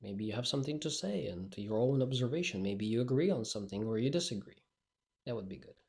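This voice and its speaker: A man's voice: mid-range voice, lively intonation, quick pace, creaky, darkish tone. A male speaking coach, not a native English speaker, talking bluntly and directly in a solo podcast monologue.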